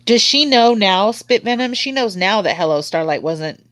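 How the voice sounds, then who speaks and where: A woman's voice: mid-range voice, expressive, moderate pace, fairly bright tone, dry recording. A woman with a slightly stuffed-up nose, chatting casually with viewers on a livestream panel. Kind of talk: casual chat with live viewers.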